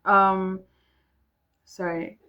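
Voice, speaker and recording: A woman's voice: mid-range voice, little pitch movement, extremely slow, smooth, lo-fi sound. A young woman from South Africa whose first language is Afrikaans, chatting casually to camera at home, rambling with many ums and restarts. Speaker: vlogger. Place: home room with music downstairs.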